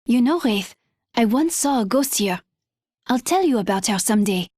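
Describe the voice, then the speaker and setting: A woman's voice: on the high side, lively intonation, normal speed, darkish tone, no echo, studio quality. An actress voicing an earnest, eager young woman engineer.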